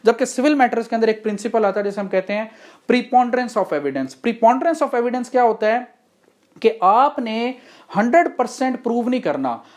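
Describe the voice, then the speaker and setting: A woman's voice: on the high side, a little mellow. A woman with a Pakistani accent giving an explanatory law lecture, methodically defining terms step by step and posing rhetorical questions. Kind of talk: explanatory lecture mixing Hindi-Urdu and English.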